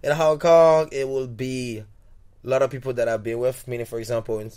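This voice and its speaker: A man's voice: medium pitch, steady tone, fairly quick, warm tone, clean tone. A young man from Togo, a podcast guest, talking in a rambling, reflective way with many restarts and repeated words. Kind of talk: casual interview.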